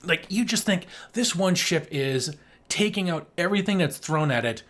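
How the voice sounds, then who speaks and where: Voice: mid-range voice, animated, normal speed, fairly bright tone. An enthusiastic podcast co-host in casual film discussion, given to acting out sound effects and swearing casually.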